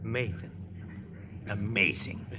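An actor playing a commanding German warship captain in a 1950s radio drama, formal and demanding with a German accent. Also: male voice, fairly low pitch, steady tone, slow pace. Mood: astonished. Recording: hall reverb, noisy dull old radio recording.

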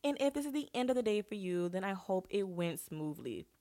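A woman's voice: medium pitch, sing-song, a little fast, warm tone. A young woman hosting her own podcast, talking casually and earnestly to listeners while congested and sniffly from a cold. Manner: earnest, asks listeners direct questions. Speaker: podcast host. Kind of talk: casual solo podcast advice talk.

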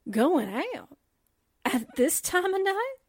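High-pitched, timid, jittery sidekick. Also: female voice, sing-song, slow, dry recording, clean studio sound, full character voice. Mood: puzzled, complaining. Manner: stammering when frightened. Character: timid, jittery young female assistant, easily frightened. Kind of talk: 1940s radio drama acting.

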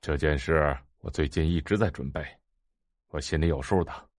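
A man's voice: extremely low pitch, moderate pace, no echo, studio quality. An actor playing a weary, stern husband in his sixties in an audio drama. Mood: placating. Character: weary, stern 64-year-old husband and patriarch. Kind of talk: Chinese audio drama acting.

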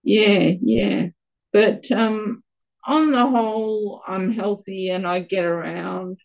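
Quiet, gravelly woman, slow. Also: mid-range voice, dull audio. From Australia. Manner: halting, stumbles a little over words. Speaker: guest with Parkinson's. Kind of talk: casual interview answers.

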